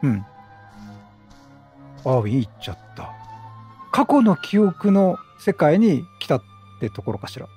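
A man with a husky voice doing chatty, reactive let's-play commentary. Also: fairly high pitch, very animated, a little slow, long pauses, dark tone, faint music behind. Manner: talkative, reactive. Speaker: game streamer.

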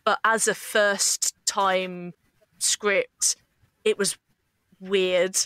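A woman's voice: mid-range voice, level tone, drawn-out words, a bit bright, smooth, dry recording. A woman talking casually about her work as a writer and actor. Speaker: scriptwriter and actor. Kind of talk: casual behind-the-scenes chat.

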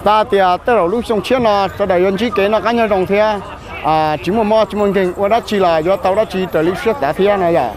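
A man's voice: high voice, quick pace. A young man from Thailand, an actor, answering interview questions outdoors at a festival, with hesitant speech and many fillers. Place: outdoor festival grounds with a large crowd. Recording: loud crowd noise behind.

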